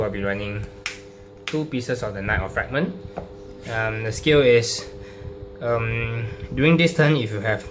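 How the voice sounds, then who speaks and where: A man casually presenting a card game deck, explaining it unscripted and card by card in a halting, hesitant way. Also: fairly low pitch. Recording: clearly noisy home recording with hum.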